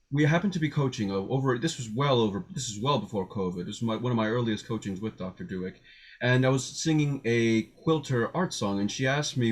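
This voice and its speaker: A man telling an anecdote in casual group talk, earnest, wordy and explanatory as he goes. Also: medium pitch. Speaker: voice student, podcast guest.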